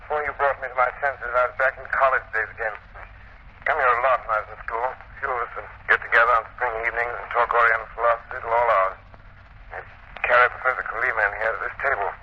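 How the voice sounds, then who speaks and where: An actor playing an urbane, thoughtful wealthy gentleman detective in 1930s radio drama style, on a muffled, noisy old recording. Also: medium pitch, steady tone, quick pace, pauses often, close to an everyday voice. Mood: musing, urbane.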